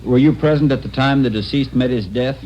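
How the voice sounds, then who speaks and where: An actor playing a dry, official coroner questioning in a formal, impersonal way in a 1950s radio drama. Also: male voice, mid-range voice, fairly quick, close to an everyday voice. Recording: muffled, noisy old radio recording.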